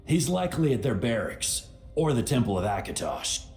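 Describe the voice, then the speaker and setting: An actor playing a grave, terse assassin of a dark brotherhood in a fantasy video game, with measured delivery. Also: male voice, medium pitch, big pitch swings. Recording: roomy echo, faint music behind.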